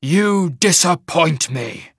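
A man voicing a wrathful, imperious shaman mage lord in a fantasy audiobook, with booming, commanding pronouncements. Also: expressive, takes it slowly, gravelly, slightly rough, no echo, studio quality, exaggerated voice. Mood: thunderous fury. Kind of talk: dramatic fantasy audiobook character.

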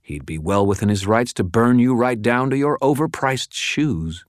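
A man portrays a calm, menacing young male aristocrat for an audiobook. He sounds tired of it all, measured and cutting, recorded very dry. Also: medium pitch, no room sound, pitched above the performer's own voice, close to an everyday voice.

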